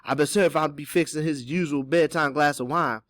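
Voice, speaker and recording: A man's voice: fairly high pitch, a little fast, a bit bright, no echo, studio quality, pitched above the performer's own voice, close to an everyday voice. A man voicing an old enslaved Southern man in an audiobook, sly and hopeful, giving urgent, folksy counsel. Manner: whispering Southern dialect speech, urgent and folksy.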